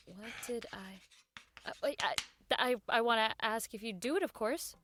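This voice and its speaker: A woman's voice: fairly high pitch, normal speed, a bit bright, a little hiss or hum, lightly acted. An actress playing an earnest, nervous woman who works in network radio, in radio drama dialogue and narration. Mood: nervous, coaxing.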